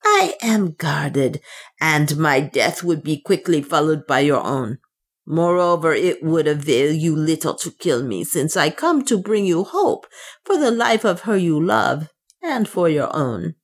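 A woman's voice: low-pitched, sing-song, a little slow, heavily acted. A woman voicing a commanding, fervent female traitress in an audiobook, solemn and emphatic, declaiming her news. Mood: ominous. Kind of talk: audiobook character dialogue.

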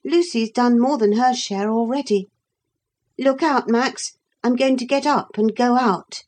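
An earnest young boy hero from a storybook, acted by a woman narrator. The voice is raised high and sounds urgent and excited. Phrases come at an unhurried pace.

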